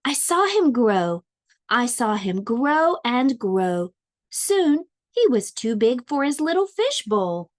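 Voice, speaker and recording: A woman reading a children's storybook aloud like a preschool teacher, expressively, with deliberate, drawn-out dramatic emphasis. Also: a little high, sing-song.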